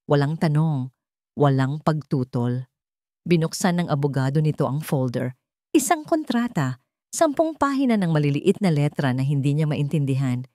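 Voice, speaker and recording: A woman's voice: sits low, lively intonation, moderate pace, mellow, dry recording, clean studio sound. A woman with a Filipino accent reading a scripted dramatic story as its narrator.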